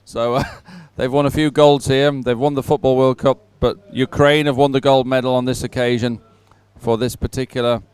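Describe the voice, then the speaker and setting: A man's voice: medium pitch, little pitch movement, normal speed, hardly any pauses, darkish tone, smooth, no echo. A man hosting live sports coverage, chatting casually and commentating with a lightly joking manner. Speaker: host and commentator.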